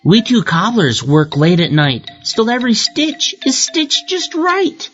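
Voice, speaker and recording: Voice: medium pitch, huge pitch swings, measured pace, fairly bright tone, voice pushed up. A narrator voicing tiny, merry, industrious elves in a sing-song rhyming storybook chant. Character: two tiny, merry, industrious elf cobblers chanting rhymes. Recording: muffled lo-fi audio with music behind.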